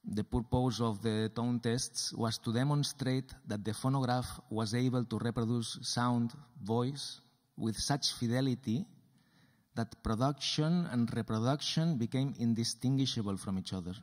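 A man in his thirties giving a lecture performance at a table on a dark stage, with deliberate, repetitive phrasing into a stand mic. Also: mid-range voice. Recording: reverberant hall.

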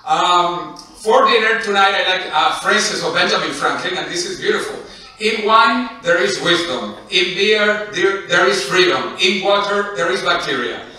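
Middle-aged male conference speaker, Spanish accent, very bright forceful voice, heavily echoing hall. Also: high voice, lively intonation, normal speed. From Venezuela. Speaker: conference speaker and session host. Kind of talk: conference presentation, informal. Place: indoor conference lecture hall at podium. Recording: echoey lecture hall, distant mic.

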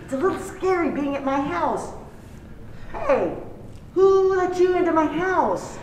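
A woman's voice: extremely high pitch, lively intonation, a little slow, darkish tone. A woman playing a nervous, hesitant host of a secret hideout in an amateur radio play. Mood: scared, annoyed. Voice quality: fretful, hesitant. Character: nervous woman hiding friends in her house. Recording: distant, very echoey room, background noise.

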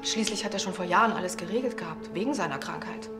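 An actress playing a bewildered, subdued widow, hushed and hesitant, in a TV crime drama. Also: female voice, on the low side, quick pace, over music, lightly acted. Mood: shocked, subdued.

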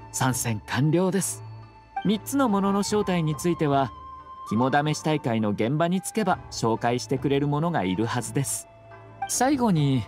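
An actor playing a composed young nobleman in an anime video game, speaking with polite, formal, measured phrasing and a subtly teasing slyness. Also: a little high, darkish tone. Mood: gracious.

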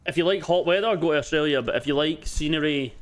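Relaxed Scottish man on voice chat. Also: on the high side, quick pace. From Scotland. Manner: relaxed, blunt, sprinkled with swearing. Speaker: gaming teammate. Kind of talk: casual chat during online game.

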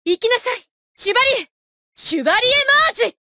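A woman's voice: extremely high pitch, lively intonation, fast, bright, forceful tone, no echo, studio quality, exaggerated voice. An actress playing a poised, haughty young female knight in a fighting game, speaking with formal, imperious politeness and clipped battle cries. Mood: commanding.

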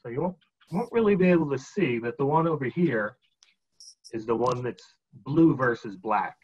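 A man in his forties giving a casual show-and-tell seminar from home on a video call, relaxed and explaining as he holds items up. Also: medium pitch, moderate pace, husky. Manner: relaxed, explains while holding up items, frequent ums. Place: home dining room with a glass cabinet. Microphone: webcam on a video call. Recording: muffled video-call audio.

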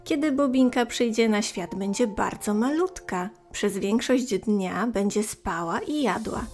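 A woman narrating a scripted story for young children in a gentle, expressive, clearly phrased way. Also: medium pitch, measured pace, soft background music.

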